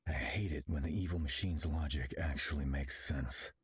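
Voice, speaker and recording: An actor playing a gruff, surly brawler in a video game, with a gravelly, raspy voice and a blunt, sardonic delivery. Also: male voice, very deep voice, normal speed, heavily acted. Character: gruff, surly, combative male mutant brawler.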